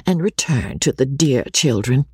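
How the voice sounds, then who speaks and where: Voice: medium pitch, lively intonation, measured pace, slightly rough, dry recording, clean studio sound, full character voice. A woman voicing a demure, agitated young governess who speaks hesitantly and breathlessly in an audiobook. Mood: demure, alert.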